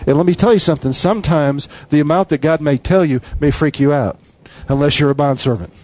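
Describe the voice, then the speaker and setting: A man preaching a sermon, his voice sitting a little higher than most men's. He hammers on repeated phrases with an emphatic cadence. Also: a little fast, darkish tone.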